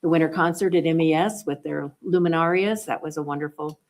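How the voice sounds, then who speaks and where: Gravelly low-voiced woman presiding. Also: a little fast, darkish tone. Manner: encouraging, thankful. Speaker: school board president. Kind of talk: formal remarks at a public meeting. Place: school district boardroom.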